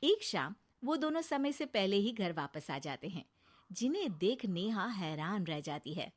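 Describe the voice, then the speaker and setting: Lively woman storyteller. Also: medium pitch, a little slow. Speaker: narrator. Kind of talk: storybook narration.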